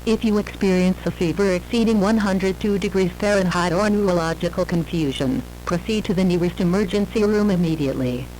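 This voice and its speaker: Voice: medium pitch, darkish tone. Noisy emergency alert announcer, formal and scripted. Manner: formal, measured read-out.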